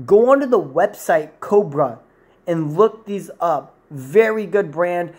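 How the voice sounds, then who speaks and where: A man's voice: high voice, lively intonation, slow pace, slightly rough. A man in his twenties giving a rambling, informal product review to camera in a room at home. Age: 20s. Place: small indoor room at home.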